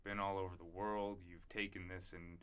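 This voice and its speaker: A man's voice: deep voice, flat delivery, normal speed, clean tone. A man interviewing an old friend, speaking conversationally and often affirming him. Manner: conversational, frequent affirming backchannels. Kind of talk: casual interview chat.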